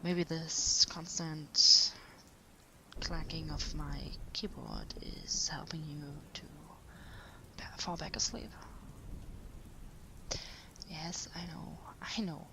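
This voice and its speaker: A woman playing a caring partner who is writing late at night, speaking in a gentle, hesitant, breathy half-whisper for an intimate sleep-aid audio roleplay. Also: low-pitched, steady tone, full character voice, poor audio. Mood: gentle, reassuring. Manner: gentle, hesitant, unhurried murmuring.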